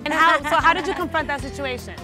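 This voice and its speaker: A woman's voice: high voice, fast talker, music in the background. A young woman hosting street interviews, outdoors with a handheld mic, blunt and joking, swearing freely. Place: outdoors on city sidewalks.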